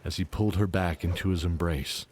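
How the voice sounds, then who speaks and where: A man's voice: deep voice, steady tone, fairly quick, slight background noise. A man narrating a scripted fantasy audio drama. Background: loud music.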